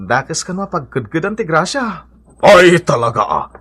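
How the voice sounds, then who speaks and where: A man acting in an Ilocano radio comedy as a jolly, boastful father hooked on cockfight betting, with a husky, exaggerated comic delivery. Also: a little high, big pitch swings, slow, a bit bright, exaggerated voice. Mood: joking, teasing.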